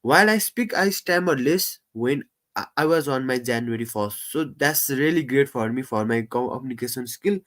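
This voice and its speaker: A man hosting a casual live stream, talking in halting English full of fillers and restarts, and he says he stammers. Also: mid-range voice, lively intonation, a bit bright. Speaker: livestream host.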